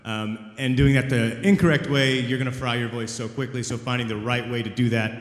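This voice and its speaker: Flat-voiced man in an echoey hall. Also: medium pitch, brisk. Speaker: voice actor on a panel. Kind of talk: convention panel answer. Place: large convention hall. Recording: echoey hall with long reverb.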